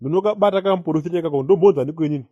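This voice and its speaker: A man's voice: on the high side, racing, dry recording, lo-fi sound, lightly acted. A man voicing a pompous, self-important cartoon police investigator with a Zimbabwean accent, peppering his talk with filler tags. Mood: officious. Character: pompous male police investigator boasting about bomb disposal.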